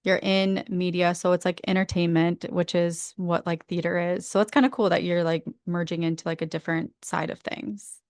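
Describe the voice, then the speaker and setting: A woman's voice: fairly low pitch, level tone, moderate pace, darkish tone. A woman hosting a podcast, enthusiastic and slangy in casual conversation. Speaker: podcast host. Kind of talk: casual interview chat.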